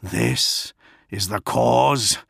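A man voicing a brooding, proud warlord in an audiobook, speaking in low, terse, growling commands. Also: very slow, gravelly, fairly bright tone, no echo, clean studio sound, exaggerated voice. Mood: grim. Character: brooding male warlord primarch, proud, secretive, quietly wrathful. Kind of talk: dramatic audiobook character.

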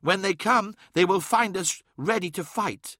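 A man's voice: sits high, unhurried, pushed and bright, no echo, clean studio sound, voice pushed up, exaggerated voice. A man voicing an anguished male sorcerer who pleads urgently and fiercely defends his home. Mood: defiant. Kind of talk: audiobook character voice.

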